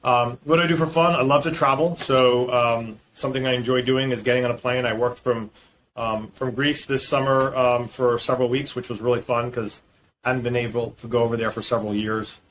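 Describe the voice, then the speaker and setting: A middle-aged man chats as a podcast guest, friendly and talkative, often stopping to restart his thoughts with an um. His voice sits at an ordinary pitch. A faint hiss runs behind him on a remote call. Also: fairly even intonation, a little fast, a bit bright, rough recording. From Boston area, US. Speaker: podcast guest, fintech founder. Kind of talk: casual interview chat.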